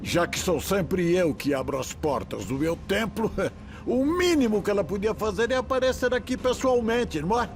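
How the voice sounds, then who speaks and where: A man's voice: sits high, lively intonation, moderate pace, soft background music. A man with a Brazilian accent playing an indignant, sarcastic, self-important church leader in an audio drama. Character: grumbling, self-important male church leader in secret cabal.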